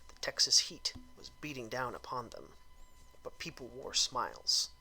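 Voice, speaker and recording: A man's voice: medium pitch, very animated, moderate pace, gravelly, sharp tone, faint music behind, low-quality recording. A man giving a comedic, theatrical dramatic reading of a written review, with exaggerated emphasis and phrases that drop into breathiness. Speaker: performer reading out a film review.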